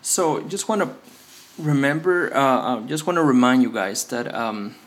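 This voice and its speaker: A man with as his talks casually to viewers through a hands-on tutorial. His English is halting and full of hesitations, delivered modestly at a middling pitch. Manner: hesitant, halting, self-effacing non-native English. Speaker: repair tutorial host.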